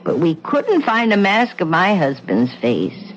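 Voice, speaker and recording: Old widow, radio play. Weary, halting, slow delivery; dull vintage sound. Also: female voice, medium pitch, huge pitch swings, slight background noise. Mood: weary, uneasy. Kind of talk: radio drama acting.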